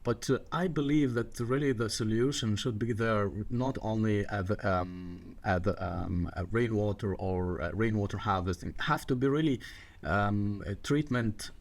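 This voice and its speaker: Man from Egypt, low-pitched, English, hesitant with fillers, podcast guest. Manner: halting, many fillers and restarts, non-native grammar. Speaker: water management guest. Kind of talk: podcast interview.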